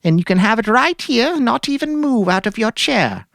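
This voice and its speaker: A woman's voice: on the high side, animated, moderate pace, creaky, a bit bright, no room sound, studio quality. A woman playing a devoted, anxious housewife who coaxes solicitously in a dramatic story reading. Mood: eager, solicitous. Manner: coaxing, solicitous.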